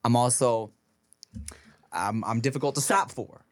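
A man's voice: medium pitch, a little slow, no room sound, clean studio sound. A man co-hosting a podcast, telling stories in casual chat, swearing freely and laughing often. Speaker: podcast co-host. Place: podcast studio. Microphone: studio microphone.

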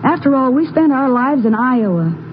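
High-voiced, worried American farm wife. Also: female voice, animated, moderate pace. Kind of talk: 1950s radio acting. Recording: muffled old radio recording with hiss.

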